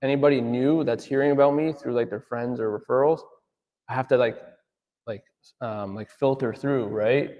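A man's voice: mid-range voice, steady tone, normal speed, in a large hall, highs cut off. A man in his thirties, an auto detailer talking casually in an interview, full of fillers and asking "right?" a lot. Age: 30s. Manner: rambling, filler-heavy, says "right?" often. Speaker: auto detailer, business owner.

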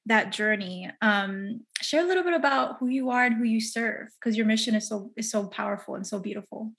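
A woman hosting a podcast interview, speaking with gushing enthusiasm and warm praise for her guest. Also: a little high, fairly quick. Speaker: podcast host and coach.

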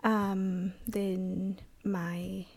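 A woman in her thirties from El Salvador with a Salvadoran Spanish accent, sharing her personal testimony as a podcast guest in a halting way, with many pauses and restarts. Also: medium pitch, flat delivery, a little mellow. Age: 30s.

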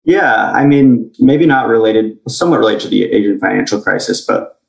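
A young man with an American accent, answering interview questions in a relaxed way with lots of "like" and "kind of". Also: on the low side, moderate pace, gravelly, roomy sound, distant mic, low-quality recording. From grew up in Indonesia. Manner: relaxed, uses "like" and "kind of" a lot. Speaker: guest.

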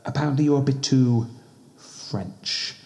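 A man's voice: medium pitch, lively intonation, normal speed, a little mellow, natural voice. A man playing a weary male official who scolds a diplomat, talking in a hesitant, stammering, exasperated way. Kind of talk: comedy sketch acting.

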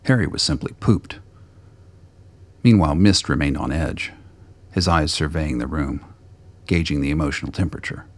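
A man reading scripted narration for a radio play in a deep, gravelly voice. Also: normal speed, pauses often.

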